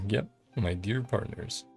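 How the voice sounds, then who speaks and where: A man's voice: fairly low pitch, big pitch swings, takes it slowly, vocal fry. A man streaming a game, chatting casually and reading the dialogue aloud in his own voice with frequent chuckles. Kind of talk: casual live-stream commentary. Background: faint game music.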